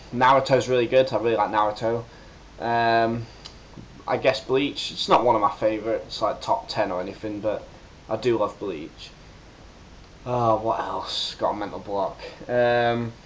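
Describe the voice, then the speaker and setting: Enthusiastic young man, low voice. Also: a little hiss or hum, low-quality recording. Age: young adult. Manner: enthusiastic listing, thinking aloud. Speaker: fan voice actor. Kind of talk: casual webcam interview answer. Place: living room indoors. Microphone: webcam.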